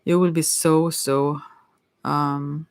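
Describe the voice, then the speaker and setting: A woman's voice: low voice, flat delivery, slow, no echo. Hesitant woman giving a close, dry ASMR reading. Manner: hesitant, stammering, often trailing off and rephrasing. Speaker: tarot reader. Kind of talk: intimate ASMR tarot reading, casual and rambling.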